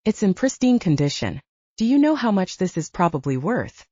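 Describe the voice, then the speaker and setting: A woman's voice: mid-range voice, sing-song, moderate pace, mellow, dry recording. A woman voicing an intense, earnest adult male werewolf with formal phrasing in an audiobook story. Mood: enthralled. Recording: dull lo-fi audiobook recording.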